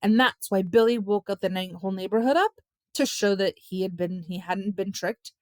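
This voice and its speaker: A woman's voice: mid-range voice, moderate pace, no echo, clean studio sound, close to an everyday voice. A woman voicing a stern father, firm and admonishing, in a children's storybook. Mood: exasperated. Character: stern, scolding suburban father. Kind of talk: children's audiobook character voice.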